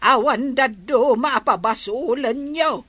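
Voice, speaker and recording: A woman's voice: high voice, takes it slowly, a bit bright, dry recording, full character voice. A woman playing an older village grandmother in a radio comedy drama, scolding her family and tender with her grandson.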